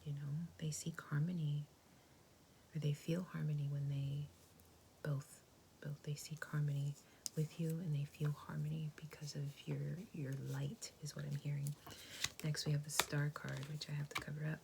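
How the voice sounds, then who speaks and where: Low flat whispery lady doing a tarot reading, soft and gentle, hissy recording. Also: normal speed, creaky. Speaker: tarot reader.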